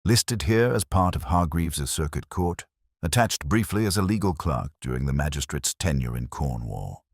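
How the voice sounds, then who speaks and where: Keen detective, played by a male narrator, very deep, animated, formal and precise, storybook mystery. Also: moderate pace, dry recording, studio quality, full character voice. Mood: brooding, deliberate.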